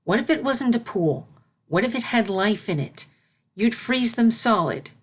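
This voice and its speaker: Woman as a patient, gentle pony princess mentor. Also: a little high, animated, slightly rough, voice pushed up. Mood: firm, instructive. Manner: measured, instructive, consoling.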